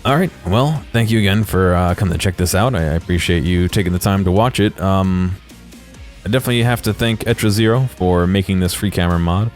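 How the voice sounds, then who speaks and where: Low-voiced man giving casual game commentary. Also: a little fast. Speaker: host of a game exploration video. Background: music behind the voice.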